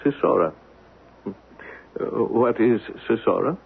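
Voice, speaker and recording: An actor playing a testy, guarded gentleman with a raspy voice, formal and impatient, in a 1970s radio drama. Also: on the low side, lively intonation, slow, full character voice. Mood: grudging, impatient. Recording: muffled, narrow-band old radio broadcast.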